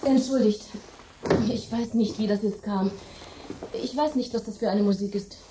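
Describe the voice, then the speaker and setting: A woman's voice: mid-range voice, moderate pace, echoey room, noisy background, close to an everyday voice. A woman as a nervous, apologetic hostess. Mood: uneasy. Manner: hesitant, apologetic. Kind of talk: radio play acting.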